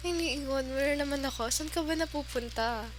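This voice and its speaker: Casting: woman as teasing, affectionate gamer girlfriend, Filipino accent, slow delivery, ASMR roleplay with noticeable background noise. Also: high voice. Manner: teasing, giggly, sighing, mixes English into Tagalog.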